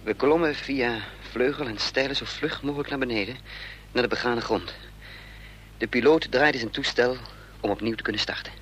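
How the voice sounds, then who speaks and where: A man narrating a Dutch radio play in the first person, measured and suspenseful. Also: mid-range voice, a bit bright. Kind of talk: scripted dramatic narration. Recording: radio play recording with faint noise.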